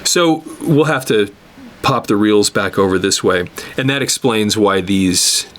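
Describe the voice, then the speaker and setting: A man giving an unscripted hands-on repair tutorial, explaining step by step in a halting way and repeating words as he works. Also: fairly low pitch, a bit bright, slight background noise. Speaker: instructor demonstrating cassette tape repair.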